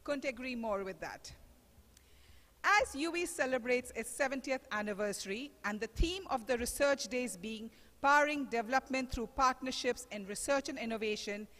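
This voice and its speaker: A woman hosting an event, reading out a measured, prepared introduction of the guest speaker. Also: a little high, a bit bright. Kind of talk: formal introduction. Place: large lecture hall. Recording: reverberant hall.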